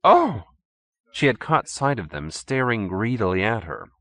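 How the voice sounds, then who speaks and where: Animated male audiobook narrator, very dry. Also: on the low side, moderate pace, no room sound. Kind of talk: scripted fiction narration.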